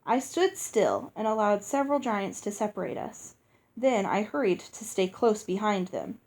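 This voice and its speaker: A woman reading a storybook aloud as narrator, in an expressive scripted style. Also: mid-range voice, normal speed. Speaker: audiobook reader.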